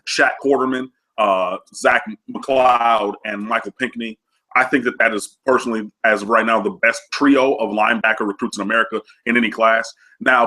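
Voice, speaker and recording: Sports analyst, male, stuffy and nasal from a cold, low forceful voice, rambling and eager. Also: poor audio. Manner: chatty, many fillers, enthusiastic. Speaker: recruiting analyst guest. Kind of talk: casual sports recruiting talk.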